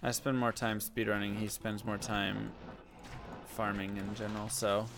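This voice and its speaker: Deep voiced guy streaming, gravelly, mumbly chat with viewers, noisy audio. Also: moderate pace. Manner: relaxed, muttering to himself and chat while playing. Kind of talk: casual gameplay commentary.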